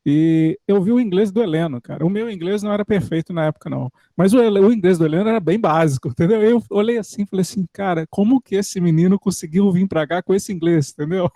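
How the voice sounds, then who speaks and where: A man's voice: high voice, fast, few pauses, mellow, no room sound, clean studio sound. A man from Brazil hosting a podcast in a casual interview, chatty and informal. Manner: chatty, informal, uses "cara". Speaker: podcast host.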